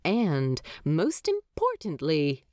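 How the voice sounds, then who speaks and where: A woman's voice: deep voice, wildly swooping pitch, slow pace, a little mellow, dry recording, studio quality. A woman narrating a story expressively from a script, as an audiobook-style narrator.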